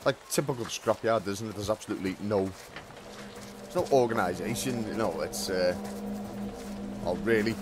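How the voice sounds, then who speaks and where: A man giving casual, chatty commentary over a game playthrough, his voice croaky from just waking up. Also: fairly low pitch, moderate pace, long pauses, background noise. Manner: chatty, self-deprecating. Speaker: gameplay commentator. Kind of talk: casual let's-play commentary.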